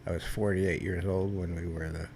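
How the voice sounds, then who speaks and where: An older man, a veteran guest, telling stories in a rambling, halting way with many restarts and a loud voice that carries. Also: sits low, flat delivery, slight background noise. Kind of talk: casual storytelling interview.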